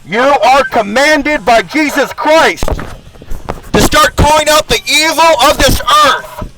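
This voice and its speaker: High-pitched man preaching defiantly over music. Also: slow, pushed and bright, low-quality recording. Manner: profane, confrontational, grandiose. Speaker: online video host. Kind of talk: impassioned preaching monologue.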